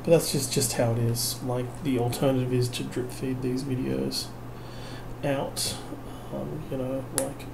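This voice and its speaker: A man's voice: medium pitch, flat delivery, measured pace, gravelly. A tired man, rambling wryly and self-mockingly in a late-night video while he builds a kit. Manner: self-mocking, wry and rambling, trailing off with fillers. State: tired, unable to sleep. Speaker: vlogger. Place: home at night. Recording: noisy home recording.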